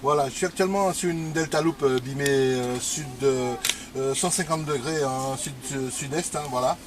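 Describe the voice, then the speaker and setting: A man's voice: mid-range voice, moderate pace. Man on noisy shortwave radio. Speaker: amateur radio operator. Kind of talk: casual ham radio exchange, spelling call signs.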